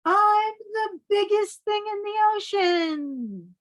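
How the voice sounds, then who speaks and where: Woman voicing a slow, boastful giant squid. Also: extremely high pitch, expressive, a bit bright, no echo, voice pushed up, full character voice. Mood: triumphant. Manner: slow, bragging delivery. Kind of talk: children's picture-book read-aloud.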